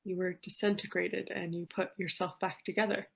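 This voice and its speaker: A woman playing an anxious, wounded lover in a fan-made superhero drama, with halting, emotional delivery. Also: lowish voice, little pitch movement, gravelly, highs cut off. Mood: frightened, tender. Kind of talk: dramatic acting in a fan-made online play.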